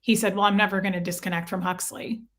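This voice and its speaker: A woman's voice: mid-range voice, fairly even intonation, quick pace, a bit bright. A woman speaking as an interview guest, telling personal stories casually and earnestly, often acting out both sides of conversations.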